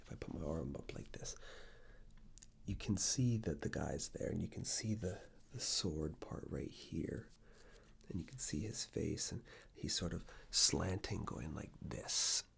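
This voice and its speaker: A man doing soft-spoken ASMR, talking casually to the viewer in a hushed, breathy voice that often drops into a whisper. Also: deep voice, unhurried. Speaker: ASMR video creator and host. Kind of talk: ASMR, soft-spoken personal ramble to camera.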